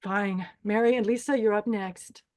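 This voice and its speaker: A woman's voice: mid-range voice, steady tone, moderate pace, close to an everyday voice. A woman playing a menacing, deranged pageant host who bosses and threatens contestants in a screenplay table read. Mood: bossy, sardonic. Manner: bossy, threatening.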